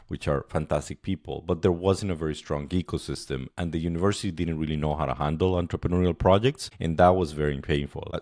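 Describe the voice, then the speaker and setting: Very deep-voiced Colombian man. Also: a little mellow, dry recording, clean studio sound. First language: Spanish. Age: middle-aged. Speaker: podcast guest, startup program director. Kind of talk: conversational interview storytelling.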